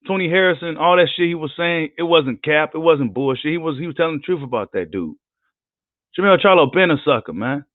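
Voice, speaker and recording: A man with a worn, hoarse voice delivers a heated, profane rant on a live boxing video. His tone sits a touch high for a man. The sound is dry and thin, like a phone line. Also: animated, brisk, no echo. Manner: heated, profane, combative ranting. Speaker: boxing commentator.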